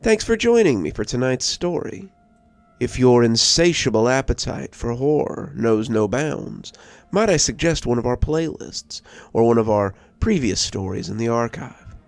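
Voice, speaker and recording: A man narrating a scripted first-person story as a horror host, with a measured, dramatic storyteller's delivery and a gravelly voice. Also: mid-range voice, lively intonation, no echo. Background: background music.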